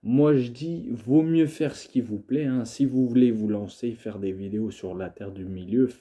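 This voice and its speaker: Young man, stuffy with a cold, very dark tone, hesitant, lots of fillers, casual video talk. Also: mid-range voice, normal speed. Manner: hesitant, many fillers and restarts. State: has a cold, coughing. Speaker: video creator. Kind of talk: casual monologue to camera.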